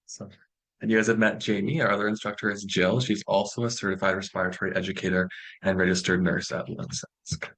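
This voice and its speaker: A man's voice: deep voice, little pitch movement, fairly quick, fairly bright tone, low-quality recording. A young man with a Canadian accent giving an informal online presentation as a student presenter, in a friendly, reassuring and encouraging way. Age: young adult.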